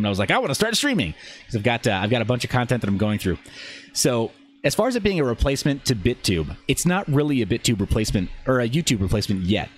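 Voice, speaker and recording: A man with a mid-pitched voice hosts a casual livestream. He talks viewers through a walkthrough in a rambling way, often breaking off into asides. Some background noise sits behind his voice. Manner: rambling, self-interrupting asides. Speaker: live streamer and channel host. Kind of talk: casual livestream chat, answering viewer questions while demonstrating a website.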